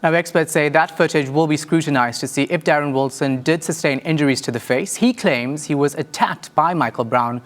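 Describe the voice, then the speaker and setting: Male news correspondent, slightly high voice, scripted report narration. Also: a little fast, few pauses, a bit bright.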